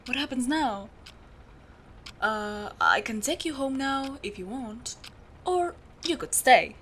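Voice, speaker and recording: A woman playing a meticulous, secretive steampunk clock repairer in an audio roleplay, explaining things patiently and in a measured way to the listener. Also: high voice, lively intonation, a bit bright, slight background noise, heavily acted. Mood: hesitant, inviting. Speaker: amateur voice actor.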